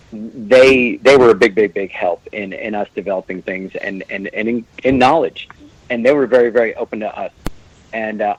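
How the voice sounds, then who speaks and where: A man with a lowish, plain voice, recorded close and dry with no room sound. He talks casually as a guest, jokes around and restarts his sentences often. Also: no echo, rough recording. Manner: joking, rambling with many restarts. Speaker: meadery owner, guest. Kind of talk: casual podcast chat.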